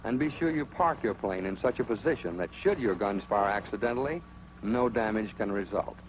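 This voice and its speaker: Low-voiced American man narrating an old training film. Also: brisk, heavy background noise. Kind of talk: 1940s scripted instructional film narration. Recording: dull old film soundtrack, music behind.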